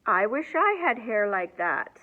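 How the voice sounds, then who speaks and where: A woman voicing an admiring, excitable schoolteacher in a children's storybook, exclaiming her lines. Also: medium pitch, unhurried, phone-quality sound.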